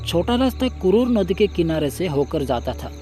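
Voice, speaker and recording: A man narrating a scripted first-person horror story in a dramatic, suspenseful way with deliberate pauses. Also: on the high side, very animated, warm tone, soft background music.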